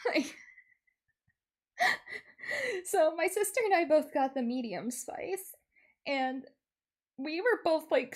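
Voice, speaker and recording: A woman livestreamer chatting casually and telling stories in an animated, giggly, expressive way. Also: sits high. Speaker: streamer, VTuber host.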